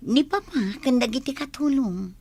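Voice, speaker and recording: Attentive wife for Ilocano radio drama, higher-pitched female voice, played by an actress. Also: animated, moderate pace, creaky, darkish tone. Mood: gently coaxing. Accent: Filipino, Ilocano. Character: attentive woman posing as an amnesiac man's wife.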